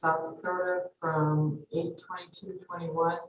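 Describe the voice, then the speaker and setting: A woman council member reads a motion aloud in a measured, formal manner. Her voice sits low for a woman. She is heard from a distance in an echoing auditorium with a faint hiss. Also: level tone, highs cut off. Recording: echoey hall from far off, faint noise.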